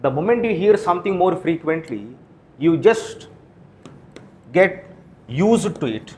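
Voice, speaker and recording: A man's voice: fairly high pitch, expressive, unhurried, darkish tone, slight background noise. A man with an Indian accent lecturing to exam students as a coaching teacher, posing questions and answering them himself. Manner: frequent tag questions like "right?" and "okay?".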